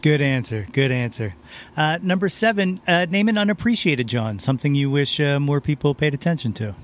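A man's voice: fairly high pitch, expressive, fairly quick. A man hosting a podcast, putting questions to his guest in a casual conversational way. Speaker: podcast host.

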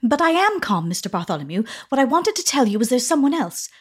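An actress playing a frightened but witty young widow in a radio drama, with wry, quick retorts. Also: female voice, high voice, sing-song, over music. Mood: anxious, upset.

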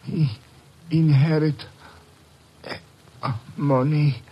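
An actor playing a mortally wounded shopkeeper, weakly gasping out words in an old radio drama, on a muffled, noisy recording. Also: male voice, on the high side, little pitch movement, drawn-out words. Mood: dying, strained. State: weak, gasping, dying.

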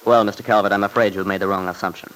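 A man playing an unyielding young father in an old-time radio play. His voice is fairly low and he talks briskly, staying polite and earnest while holding firm. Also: steady tone, fairly bright tone, close to an everyday voice, poor audio. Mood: resolute.

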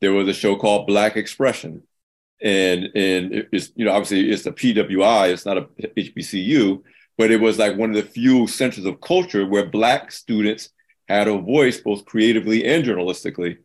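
A man with a deep voice moderating a panel discussion, speaking earnestly and often restarting his sentences. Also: normal speed.

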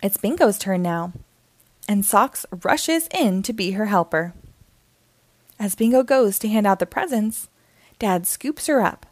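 A woman reading a children's story aloud in an expressive, deliberate narrating style. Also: medium pitch, no echo. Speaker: storybook reader.

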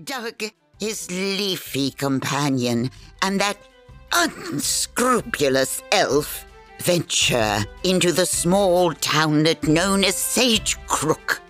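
Haughty, low-voiced old crone storyteller. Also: female voice, very animated, drawn-out words, a bit bright, soft background music, full character voice. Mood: haughty narrating. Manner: pompous, archaic wordy phrasing. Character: cantankerous old crone narrator, haughty and vexed. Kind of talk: theatrical storybook narration.

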